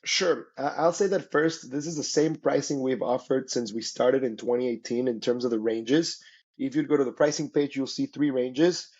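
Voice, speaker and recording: A man's voice: medium pitch, steady tone, normal speed, a little mellow, breathy edge, muffled recording. A man from Mexico, a native Spanish speaker, explaining conversationally as an interview guest, often checking in with "right?". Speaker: interview guest, company founder.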